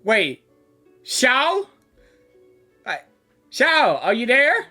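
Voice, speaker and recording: A woman's voice: on the high side, huge pitch swings, extremely slow, pushed and bright, faint music behind. A woman giving casual, informal reaction commentary as she plays through a game, exclamatory and giggly. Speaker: gaming reaction streamer.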